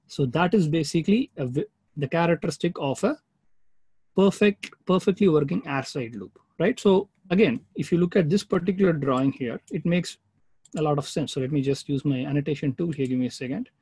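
A man with a husky, somewhat high-pitched voice gives an informal webinar lecture as instructor. The sound is close and dry, with no room around it. He explains things in a plain, explanatory way and often checks that listeners follow. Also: a little fast, mellow, no echo. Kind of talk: informal online technical lecture with slides.

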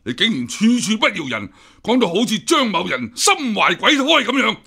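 A senior imperial examiner in a Cantonese radio drama, with a Hong Kong accent. The voice is husky and forceful with very lively pitch. He speaks formally and smoothly, guarded and easily offended. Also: moderate pace, full character voice. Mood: indignant.

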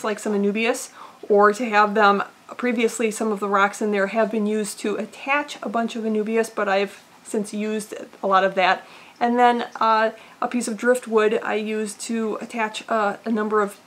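A woman's voice: mid-range voice, a bit bright. A woman in her thirties hosting an aquarium vlog, talking casually with frequent "uh" fillers, seated in an indoor room full of tanks. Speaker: aquarium channel host. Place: indoor fishroom with tank racks.

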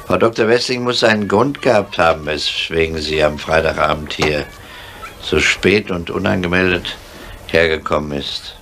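An actor playing a gruff middle-aged police chief inspector in a 1970s German radio crime drama. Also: male voice, sits low, normal speed, pushed and bright. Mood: questioning. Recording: faintly noisy 1970s radio recording.

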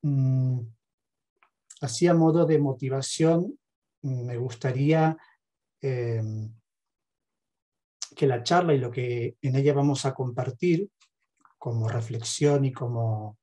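Male lecturer, middle-aged, Argentine accent. Unhurried and measured, mellow timbre, reflective talk with pauses. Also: medium pitch. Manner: measured, hesitant pauses between phrases. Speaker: speaker, theologian and counsellor. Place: home study with bookshelves. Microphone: headset on a video call.

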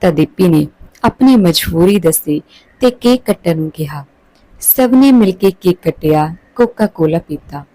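A woman storyteller narrates from a script. Her voice is soft-edged and mellow, sitting slightly low. The recording is dry and close. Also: expressive, unhurried, no room sound, low-quality recording.

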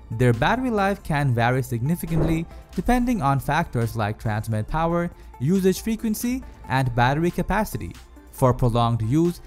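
A man reading a scripted explainer as a video narrator, in a polished, presentational manner. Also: a little high. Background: faint background music.

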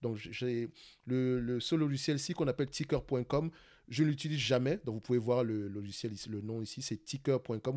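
A man with a Cameroonian French accent who hosts an investing channel, talking quickly through a live screen-share stock analysis, often restarting phrases and checking in with "d'accord?". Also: mid-range voice, a little mellow. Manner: fast talker, searches for words, many restarts and "d'accord?".

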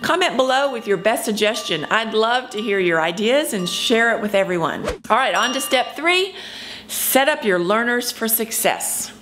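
A woman in her fifties hosts a scripted teaching video. Her voice sits fairly high, and she presents emphatically, like a drama teacher talking straight to camera. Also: animated, moderate pace, over music. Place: indoor room at home.

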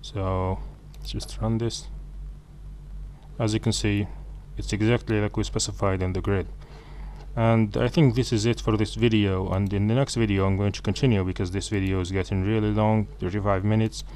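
A man's voice: fairly low pitch, level tone, darkish tone, a little hiss or hum. A man whose first language is Arabic, walking through code in a screen-recorded programming tutorial, with frequent fillers and restarts. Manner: halting, many fillers and self-corrections.

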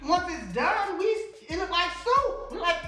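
A man's voice: very high-pitched, sing-song, unhurried, sharp tone. An American man in his thirties cooking as a guest, with teasing, sassy, casual banter. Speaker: guest cook. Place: home kitchen, indoors. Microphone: camera a few feet away. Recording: echoey kitchen, distant mic, music behind.

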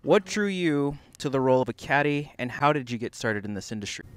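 Male host, scripted questions, smooth resonant voice. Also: mid-range voice, expressive, brisk. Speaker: interview host. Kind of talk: reading prepared interview questions.

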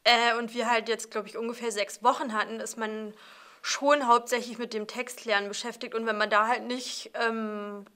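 A woman's voice: fairly high pitch, fairly even intonation, moderate pace, bright, forceful tone. A young woman, an actor being interviewed, who speaks in a reflective, slightly guarded way. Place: indoor theatre space with brick wall.

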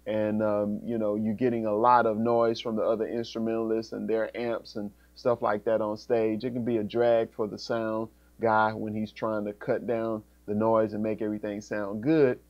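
A man's voice: lowish voice, level tone, normal speed, few pauses. A man in his thirties with an American accent, explaining gear step by step in a casual, informal tutorial. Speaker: music instructor. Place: small music studio, indoors. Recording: dull sound with humming background noise. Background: electrical hum, fan noise.

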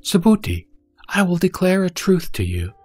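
A man's voice: high voice, sing-song, moderate pace, a little mellow, husky, soft background music, exaggerated voice. A man playing the Buddha in a scripture audio drama, a serene teacher speaking formally and instructively. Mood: solemn questioning. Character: the Buddha, serene authoritative male teacher addressing his disciple.

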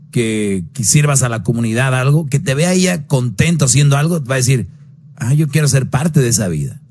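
A man hosts a radio advice call-in. His voice sits at an ordinary middle pitch. He talks bluntly and preachily, jumping his pitch up sharply whenever he drives a point home. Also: a little mellow.

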